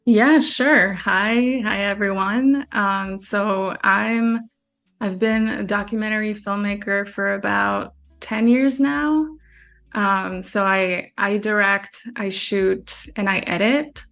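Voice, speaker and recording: Woman in her 30s, chatty filmmaker guest, lots of ums and restarts, mid pitch. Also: steady tone, slow pace, creaky, a bit bright, soft background music. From France. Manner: chatty, many fillers and restarts, laughs easily. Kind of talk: casual interview answers.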